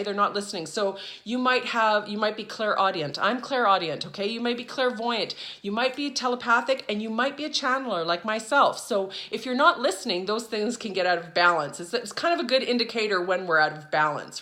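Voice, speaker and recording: A woman's voice: mid-range voice, sharp tone. A woman teaching her viewers in a casual, chatty way, often checking in with "okay?" and "right?" as she talks. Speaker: spiritual teacher and channeler. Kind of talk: casual instructional talk to viewers.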